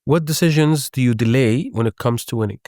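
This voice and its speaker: A man's voice: mid-range voice, a little fast, darkish tone, no echo, studio quality. A man hosting an interview, asking questions and giving short encouraging affirmations. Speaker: podcast host.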